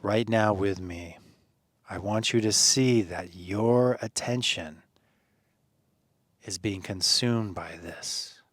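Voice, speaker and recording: A man leading a guided meditation in a soothing, earnest manner, phrasing deliberately with pauses and gentle encouragement. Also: lowish voice, no echo, studio quality.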